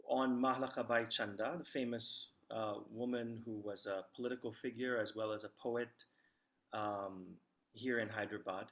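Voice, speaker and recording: A man's voice: mid-range voice, a bit bright. An American man, a guest professor, answering a question thoughtfully with hesitant starts.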